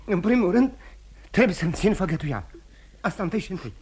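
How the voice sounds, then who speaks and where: An actor in a radio play voicing a despairing, self-loathing man who is tender to his lover and speaks haltingly, repeating his words. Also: sits high, very animated, a little mellow, a little hiss or hum. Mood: earnest, weary. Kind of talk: old radio theatre acting.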